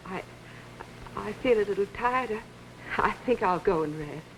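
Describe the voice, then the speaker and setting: An actress playing a conductor's gracious, candid and faintly uneasy wife in a 1950s television drama. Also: female voice, mid-range voice, lively intonation, a little slow, a little hiss or hum, low-quality recording. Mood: polite, strained.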